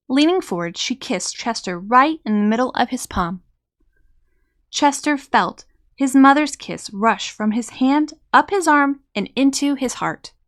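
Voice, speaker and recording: A woman narrating a children's storybook as a read-aloud host, in an expressive, measured storytelling manner. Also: on the high side.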